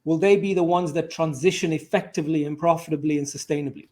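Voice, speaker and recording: A man's voice: fairly high pitch, fairly even intonation, fast talker, a little mellow. A man interviewing a guest in a conversational way, putting analytical questions.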